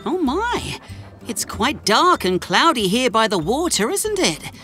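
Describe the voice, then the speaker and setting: A woman voicing a cheerful, eager young boy tank engine in a children's cartoon, with a bubbly, expressive manner. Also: on the high side, measured pace, faint music behind. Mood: musing. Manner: bubbly, wide-eyed, expressive.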